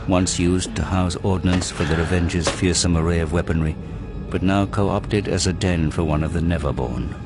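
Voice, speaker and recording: A man reading scripted dramatic narration for an audio drama, grave and measured. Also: deep voice.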